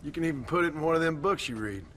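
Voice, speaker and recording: A man's voice: a little high, expressive, very fast, a bit bright, a little hiss or hum. An actor playing a plainspoken, tough frontier rancher and father with an American Western accent in a video game. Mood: fond, wry. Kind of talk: video game cutscene acting.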